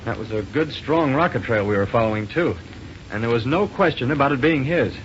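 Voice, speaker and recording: An actor playing an earnest, plain-spoken young pilot hero with a folksy, eager manner in 1930s radio style. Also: male voice, mid-range voice, lively intonation, brisk, close to an everyday voice. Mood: puzzled, determined. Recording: muffled, hissy 1930s radio recording.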